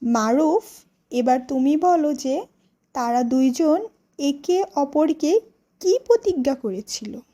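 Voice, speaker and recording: A woman storyteller with a high, lively voice that swings in pitch. She takes her time, phrasing each line deliberately like a teacher addressing young pupils. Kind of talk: scripted children's story narration and classroom questioning.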